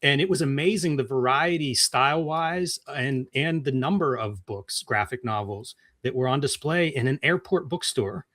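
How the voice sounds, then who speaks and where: A man's voice: mid-range voice, lively intonation, normal speed, few pauses, a bit bright, dry recording, clean studio sound. A middle-aged man co-hosting a casual interview, speaking in a thoughtful, conversational way. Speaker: interviewer, co-host. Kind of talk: casual discussion.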